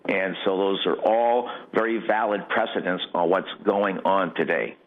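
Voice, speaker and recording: A man's voice: low voice, steady tone, unhurried, a little hiss or hum. An American man giving an emphatic, rambling political interview as a guest candidate, often repeating phrases for stress. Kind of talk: political interview, impassioned off-the-cuff argument. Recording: tinny, narrow phone-like line.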